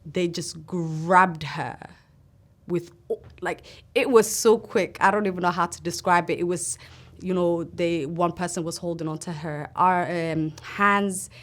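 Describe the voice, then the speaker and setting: A woman from Somalia gives personal testimony in a sit-down interview. Her voice sits fairly low. She talks in an earnest, halting way, pausing as she recalls things. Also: fairly even intonation. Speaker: interview guest.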